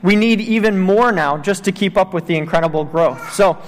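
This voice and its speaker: A man's voice: sits high, brisk. A man in his thirties, a missionary who grew up in Tanzania, speaking earnestly to a church congregation with many fillers. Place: church sanctuary. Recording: long church hall reverb.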